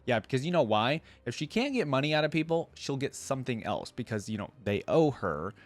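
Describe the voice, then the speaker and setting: A man hosting a reaction video, commenting informally and reading aloud as he thinks out loud. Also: mid-range voice, big pitch swings, brisk.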